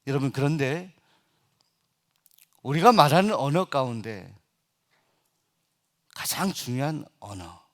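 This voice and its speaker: A middle-aged man preaching a Sunday sermon as senior pastor. He hesitates often with filler sounds, then builds to loud, emphatic passages. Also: mid-range voice, sing-song, slow, pauses often.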